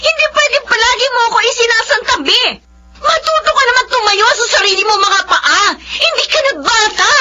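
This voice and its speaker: An actress playing an aggrieved, long-suffering wife in a Filipino radio drama, earnest and pleading with a strained edge. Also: female voice, very high voice, fairly quick, bright, forceful tone, highs cut off, slight background noise, full character voice. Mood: anguished, defiant.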